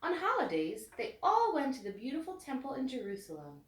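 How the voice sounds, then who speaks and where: Lady reading a kids story, lively up and down voice, roomy echo, bit far from the mic. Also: sits high, fairly quick. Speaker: children's story reader. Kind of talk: read-aloud storybook narration. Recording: echoey room, distant mic.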